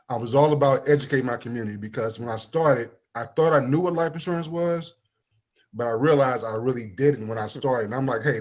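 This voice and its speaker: A man in his forties from East Saint Louis, a life insurance agent and talk-show guest, telling stories with acted-out dialogue. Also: mid-range voice. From East Saint Louis, Illinois, USA. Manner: animated storyteller, acts out quoted dialogue, often adds "you know what I mean". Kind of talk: casual talk-show conversation.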